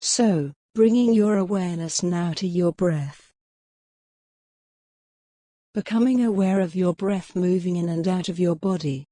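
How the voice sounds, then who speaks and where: A woman leading a guided mindfulness meditation in a gentle, soothing, measured way with long pauses. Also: on the low side, no room sound, studio quality.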